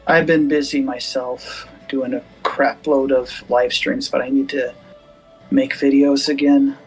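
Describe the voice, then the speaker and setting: A man with a soft, gentle voice, chatting casually over voice chat with a streamer. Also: mid-range voice, little pitch movement, measured pace, husky, faint music behind, poor audio. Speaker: guest gamer.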